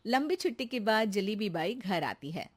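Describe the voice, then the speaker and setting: A woman narrating a cartoon story in a steady, matter-of-fact way. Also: mid-range voice, moderate pace.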